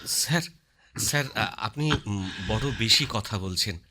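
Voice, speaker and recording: A stammering, nervous doctor. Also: male voice, lowish voice, expressive, unhurried, pushed and bright, exaggerated voice. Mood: hesitant. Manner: stammering, hesitant. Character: nervous, soothing family doctor. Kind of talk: radio drama acting.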